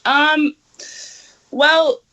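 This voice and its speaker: A young woman from Nigeria answering interview questions in a bright, clear tone. She speaks earnestly and thoughtfully, with plenty of pauses and restarts as she works out her answers. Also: high voice, steady tone, highs cut off. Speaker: activist guest.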